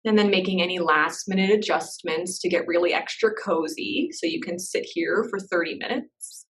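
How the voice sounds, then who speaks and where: Meditation guide, woman, lowish voice, soft and unhurried, recorded a little off-mic. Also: expressive, far from the mic, low-quality recording. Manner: soft, slow and reassuring, with gentle pauses.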